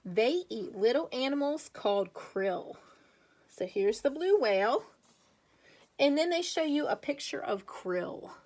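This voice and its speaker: Slow-paced, higher-voiced woman teaching young children. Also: animated, a little mellow. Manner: slow, deliberate, emphatic when reading aloud; encouraging, asks the children questions. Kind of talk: children's read-aloud with chatty asides.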